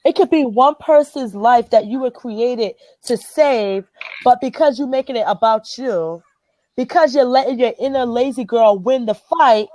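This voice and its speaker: A woman with a Liberian accent and a somewhat high voice hosts a motivational group chat. She talks earnestly, stressing and repeating her key phrases. The sound is close and dry. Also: warm tone, no echo, low-quality recording. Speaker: host.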